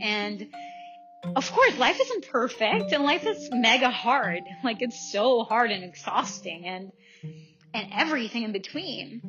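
A woman's voice: fairly high pitch, lo-fi sound. A young woman from El Salvador talking casually and reflectively to her listeners, informal and self-questioning, often checking in as she goes. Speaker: vlogger. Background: music.

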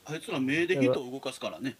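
Male conversationalist, Kansai accent, mid-pitched voice with animated intonation, informal and chatty. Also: fast. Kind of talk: casual chat.

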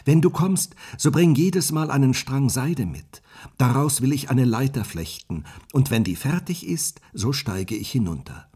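A man voicing a naive young maiden in a fairy tale, soft and earnest. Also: mid-range voice, expressive, a little slow, slightly rough, pitched above the performer's own voice.

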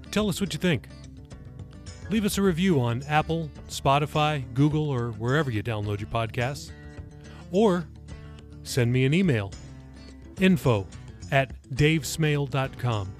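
A man reading his own novel as scripted audiobook narration for a podcast. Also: a little high.

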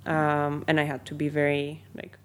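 A woman's voice: low voice, monotone, moderate pace, a little hiss or hum. A young woman from Bulgaria, a company founder, chatting in an interview with many ums and likes. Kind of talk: casual interview.

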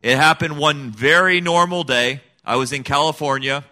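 A man's voice: on the high side, moderate pace, sharp tone. A middle-aged man raised in Senegal, giving an earnest, emphatic personal testimony as an interview guest. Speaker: guest, missionary kid.

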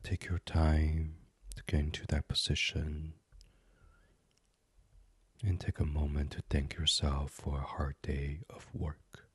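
Male sleep meditation host: hushed, very husky and breathy, unhurried, gentle, recorded close and dry. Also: rumbling low, completely flat delivery, no room sound. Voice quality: breathy, husky, partly whispered. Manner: soothing, hushed, gentle, with many pauses. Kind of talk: guided sleep meditation, soft ASMR reading.

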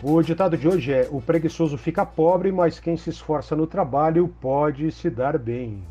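Mellow husky man reading radio news. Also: fairly high pitch. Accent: Brazilian Portuguese. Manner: steady, measured reading of announcements. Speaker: radio program presenter. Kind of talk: scripted radio presenting. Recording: dull lo-fi radio sound with faint music.

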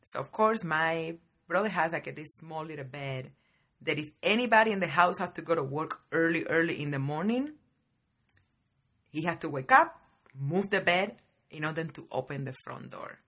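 A woman hosts her own podcast, telling stories from her childhood. Her voice sits low and comes out husky and a little breathy. She chats in a lively, casual way and keeps calling her listeners guys. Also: moderate pace. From Cuba. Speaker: podcast host. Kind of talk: casual personal storytelling.

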